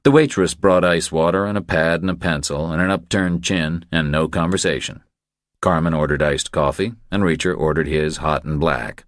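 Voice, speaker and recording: A man reading scripted audiobook narration in a measured storytelling manner. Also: a bass voice, dry recording, studio quality.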